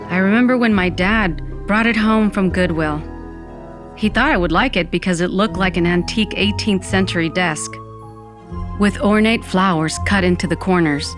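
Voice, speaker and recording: A woman's voice: mid-range voice, moderate pace, lightly acted. A woman playing a sardonic undead woman, narrating an audio fiction in the first person. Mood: nostalgic. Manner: wry, dramatic, peppered with Spanish exclamations. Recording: music bed under the voice.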